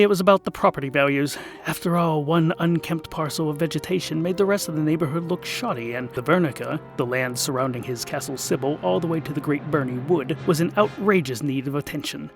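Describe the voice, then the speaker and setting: A man with a slightly raised voice tells a comic fantasy story in a theatrical way. He sinks into near-whispers, then swells into loud bursts. Faint music plays under him. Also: fairly high pitch. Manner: theatrical, dropping to hushed whispers and rising to bursts. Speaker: narrator and host. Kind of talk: scripted audiobook narration.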